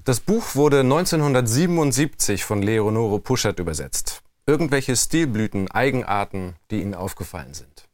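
A man with a slightly low voice hosts an interview podcast. He delivers prepared questions, and the sound is dry and close. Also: lively intonation, normal speed, few pauses, a little mellow, dry recording.

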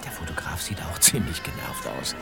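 A man's voice: deep voice, lively intonation, a little fast. A man playing a chatty, teasing young detective in an audio drama, playfully stretching words for emphasis. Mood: amused. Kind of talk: German audio drama dialogue. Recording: music behind the voice.

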